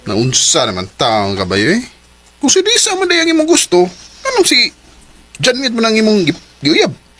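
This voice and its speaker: A man's voice: high-pitched, huge pitch swings, normal speed, bright, forceful tone, faint music behind, exaggerated voice. A man with a Cebuano accent acting an indignant friend who scolds in a radio drama. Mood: reproachful.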